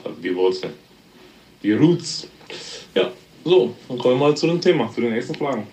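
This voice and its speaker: Relaxed rambling man in his thirties. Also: mid-range voice, moderate pace, poor audio. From Germany. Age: 30s. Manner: relaxed, rambling, with fillers and laughs. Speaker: podcast guest. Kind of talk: casual podcast chat.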